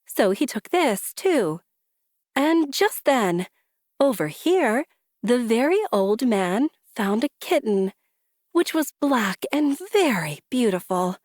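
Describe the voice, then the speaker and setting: A woman reading a children's story aloud with deliberate, rhythmic, emphatic phrasing. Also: fairly high pitch, big pitch swings, a little mellow, no room sound, clean studio sound. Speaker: storybook reader. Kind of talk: expressive children's story narration.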